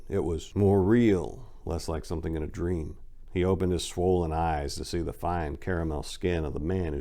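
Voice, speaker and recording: A man's voice: low voice, darkish tone. A man hosting and narrating scripted dramatic readings in a theatrical, emphatic way. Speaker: channel host and story narrator.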